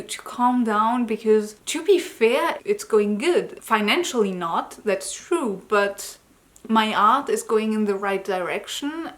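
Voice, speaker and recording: A woman who grew up in Germany, tired, chatting loosely in a personal vlog update as an artist, often correcting herself and laughing lightly. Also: on the high side. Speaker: artist vlogger.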